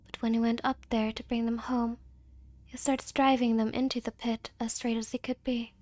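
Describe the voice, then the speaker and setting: High-voiced woman reading an ASMR story. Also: little pitch movement, darkish tone, no room sound. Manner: slow careful pronunciation when reading. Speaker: VTuber ASMR performer. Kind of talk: ASMR storytelling and playful chat.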